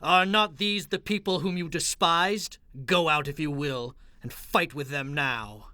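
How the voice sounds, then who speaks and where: A sharp, scheming city ruler. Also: male voice, fairly high pitch, unhurried, no echo, clean studio sound. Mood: taunting. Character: stern, scheming male city ruler of biblical times. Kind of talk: radio theatre Bible dramatization.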